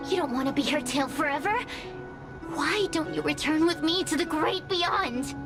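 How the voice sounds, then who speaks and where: A woman's voice: high-pitched, normal speed, music in the background. A woman voicing a proud, mocking fire spirit in a video game, speaking in a theatrical storyteller's manner. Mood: wistful. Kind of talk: video game character acting.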